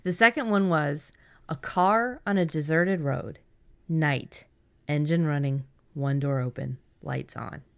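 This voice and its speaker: A woman's voice: sits low, slow pace, gravelly, clear, resonant tone. A woman hosting a casual podcast about writing fiction, chatting in an enthusiastic way. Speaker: podcast host.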